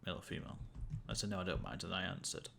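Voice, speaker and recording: Deep gravelly guy rambling to his stream viewers, lots of ums, bit of hiss behind. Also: racing. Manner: rambling, self-amused, many fillers and restarts. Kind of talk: casual gameplay chat to viewers.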